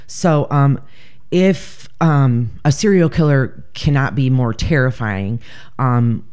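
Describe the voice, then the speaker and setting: A woman with a deep voice co-hosting a casual true-crime chat, blunt, joking and profane. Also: takes it slowly, a little mellow, clean tone. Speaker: podcast co-host.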